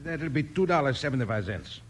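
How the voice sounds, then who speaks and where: An actor playing a nervous old gunsmith with a comic Pennsylvania Dutch accent, mangling English in a 1950s radio western. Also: male voice, mid-range voice, lively intonation, fairly quick, natural voice. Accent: comic Pennsylvania Dutch German. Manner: stammering, mangled English full of invented words.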